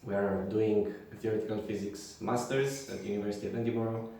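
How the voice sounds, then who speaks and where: Young man, podcast host, lowish voice, far from mic, echoey room. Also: fairly even intonation, gravelly, warm tone. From Romania. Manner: friendly, halting, many fillers and okays. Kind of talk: casual chat.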